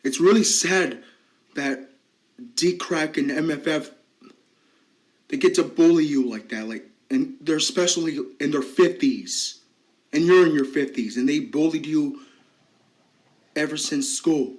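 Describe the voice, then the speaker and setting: A man doing a soft-spoken consoling friend. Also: fairly high pitch, measured pace, pauses often, lightly acted. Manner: soft, soothing, hesitant with fillers. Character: gentle supportive male friend. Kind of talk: amateur drama acting.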